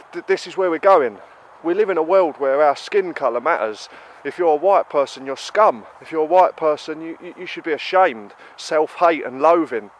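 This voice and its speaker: A man's voice: a little high, a little hiss or hum, poor audio. A British man with a stuffy nose from a cold, ranting heatedly in an emphatic monologue full of repeated rhetorical questions. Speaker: vlogger.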